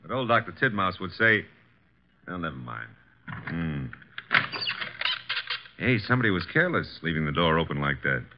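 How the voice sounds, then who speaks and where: An actor playing a breezy, flippant detective's assistant with an American accent and slangy, sardonic delivery in a 1950s radio drama. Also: male voice, low-pitched, sing-song, normal speed, long pauses. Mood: wry. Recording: dull, hissy vintage radio recording.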